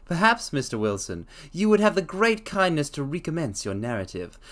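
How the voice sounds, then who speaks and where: A man's voice: fairly high pitch, sing-song, normal speed, a bit bright. A man voicing a confident male Victorian detective who speaks in a precise, lecturing way. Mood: assured.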